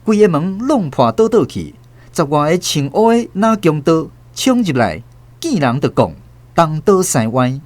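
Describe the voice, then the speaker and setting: Expressive Taiwanese man narrating a tale. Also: on the high side, measured pace, a little hiss or hum. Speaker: radio drama narrator. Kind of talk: dramatized storybook narration.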